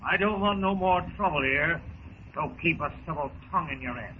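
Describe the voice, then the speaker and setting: An actor playing a bullying pirate captain in a 1930s radio serial, with rough, slangy, menacing speech. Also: male voice, a little high, fairly quick, natural voice. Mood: menacing, gloating. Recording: scratchy, dull 1930s radio recording.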